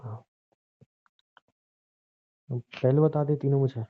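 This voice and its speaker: A man's voice: medium pitch, fairly even intonation, slow, natural voice. A man playing a defensive husband in an audio drama, swinging between grudging apology and accusation in conversational speech. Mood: grudgingly conciliatory. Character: defensive, short-tempered husband, grudgingly apologetic, nagging his wife. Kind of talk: Hindi audio drama dialogue, conversational acting. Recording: muffled, phone-like narrow sound.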